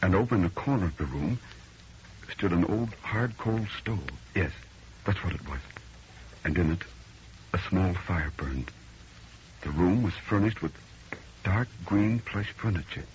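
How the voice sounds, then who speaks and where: A man's voice: sits low, animated, measured pace, pauses often. An actor playing a dazed, uneasy city man telling an eerie tale in old radio drama style, earnest and haunted. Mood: hushed, ominous. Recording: muffled old radio recording, faint noise.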